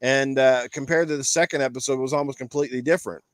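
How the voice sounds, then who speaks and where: A man's voice: mid-range voice, steady tone, fast talker, no echo. A man hosting a casual panel chat, giving rambling opinions with emphatic repetition. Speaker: podcast panel host. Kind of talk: casual opinion chat about games and films.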